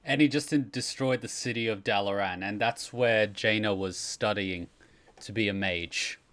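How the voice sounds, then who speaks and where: A man's voice: fairly low pitch, moderate pace, fairly bright tone, faint music behind. Chatty man doing casual game commentary. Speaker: streamer explaining game story.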